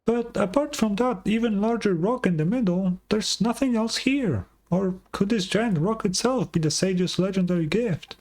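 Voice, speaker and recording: A woman voicing a small, cheeky fairy companion in a video game with a squeaky, childlike voice. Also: moderate pace, hardly any pauses, a little mellow, natural voice. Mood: puzzled.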